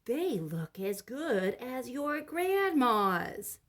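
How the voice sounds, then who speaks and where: Woman putting on high, eager storybook children. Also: sing-song, drawn-out words, fairly bright tone, exaggerated voice. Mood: admiring. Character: excited, hungry young children, a girl and boy.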